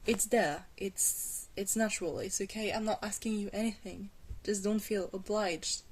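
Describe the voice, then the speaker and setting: A woman playing a very old, protective vampire mentor in a soft-spoken ASMR roleplay, gentle and hesitant, with reassuring pauses. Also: medium pitch, fairly even intonation, lightly acted. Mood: calmly commanding. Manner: slow, hesitant, reassuring, with breathy pauses and small chuckles.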